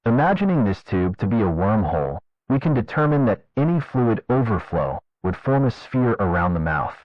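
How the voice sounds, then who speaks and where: A man narrating a fictional scientific government report in a formal, measured, clinical manner. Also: lowish voice, sing-song, no echo. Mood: formal, detached. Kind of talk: scripted documentary narration, analog horror. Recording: dull lo-fi narration track.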